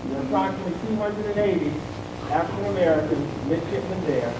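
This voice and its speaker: A man giving an informal address to a gathering as one of the event's speakers. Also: quick pace. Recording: distant, echoey, very noisy rumbling live tape.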